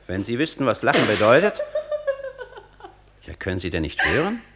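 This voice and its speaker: Pompous, animated scholar Faust. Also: male voice, on the low side, normal speed, heavy background noise. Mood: impatient, irritated. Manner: pompous, lecturing, condescending. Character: imperious, vain old scholar Faust, easily irritated, dictating. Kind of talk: German radio play acting.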